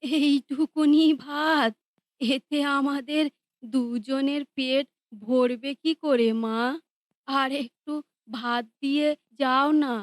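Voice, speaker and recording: A woman's voice: high-pitched, fairly even intonation, slow, dry recording. A woman voicing a sorrowful old grandmother in a cartoon, pleading and lamenting her fate. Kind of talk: cartoon dubbing.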